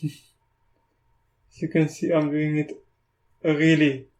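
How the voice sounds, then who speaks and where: Halting South African man, flat voice. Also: on the high side. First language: Afrikaans. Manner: halting, restarts often, thinks aloud. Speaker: tech YouTuber. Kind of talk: casual screen-recorded software walkthrough.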